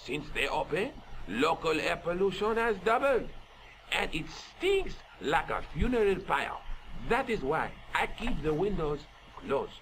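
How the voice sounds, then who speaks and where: High, animated, fussy Frenchman. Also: measured pace, full character voice, poor audio. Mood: fussy, indignant. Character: fussy, indignant French man worried about air pollution.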